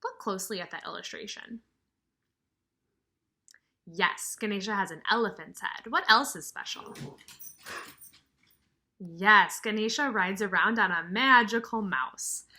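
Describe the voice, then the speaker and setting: A woman kindergarten teacher reading aloud and explaining to young children in an expressive, emphatic way, with questions to the listeners. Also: medium pitch, unhurried, pauses often.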